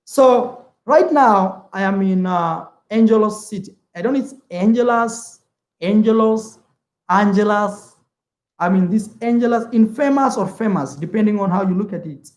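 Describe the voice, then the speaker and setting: A man's voice: sits high, normal speed, darkish tone, echoey room. A young man from East Africa whose first language is Swahili, chatting casually on a travel livestream, rambling, repeating words and calling the viewer "man". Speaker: travel vlogger.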